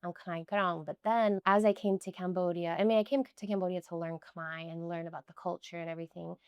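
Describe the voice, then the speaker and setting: Young american woman, chatty and earnest, says like a lot, lowish voice. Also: steady tone, fairly quick, smooth, dry recording, muffled recording. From United States. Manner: chatty, many "like" fillers, earnest. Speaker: guest. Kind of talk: casual conversational interview.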